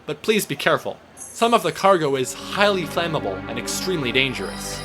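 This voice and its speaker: A man's voice: on the high side, sing-song, brisk, music in the background. Man doing a cautious railway foreman. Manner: instructing, cautionary. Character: careful male railway foreman.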